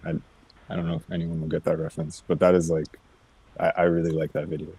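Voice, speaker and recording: A man from Mississauga, a band member, talking in a hesitant, halting way. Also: extremely low pitch, little pitch movement, gravelly, dark tone, husky. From Mississauga, Canada. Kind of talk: casual livestream chat.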